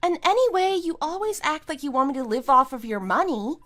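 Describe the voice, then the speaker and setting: An actress playing a put-upon but persistent middle-aged wife who reasons defensively in a scripted audio drama. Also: female voice, high voice, very animated, brisk, a bit bright, soft background music. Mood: exasperated.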